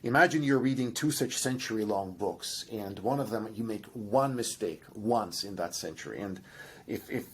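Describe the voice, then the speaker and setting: A man's voice: on the low side, normal speed, fairly bright tone, a little hiss or hum. A man with a Russian accent, a genetics professor giving long, enthusiastic explanations with many restarts and fillers. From Russian by ancestry. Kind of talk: explanatory interview answers.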